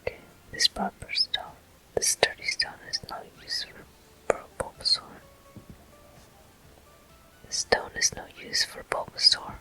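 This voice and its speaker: A breathy whisper with a very bright, crisp edge narrates video game play in ASMR style. The delivery is soothing and unhurried, gently encouraging, and spoken close to the microphone. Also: faint music behind, poor audio. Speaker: game streamer. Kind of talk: whispered ASMR gameplay commentary.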